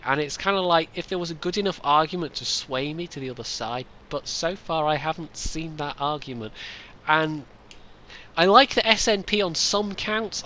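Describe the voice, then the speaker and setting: Man, late twenties, faint Scottish lilt, bright and raised timbre, loose self-deprecating YouTube Q&A delivery. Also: a little high, a little hiss or hum. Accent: light Scottish. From Scotland, west coast. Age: late 20s. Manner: rambling, self-mocking, jokey. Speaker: YouTuber answering viewer questions. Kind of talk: casual Q&A chat.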